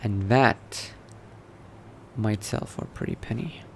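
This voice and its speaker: A low-voiced man speaks very slowly, musing aloud as he acts out a newly turned vampire adventurer in a video game roleplay. His phrases trail off as he thinks things through, with noticeable background noise and ambience behind him. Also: gravelly. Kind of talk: in-character gameplay narration, thinking aloud.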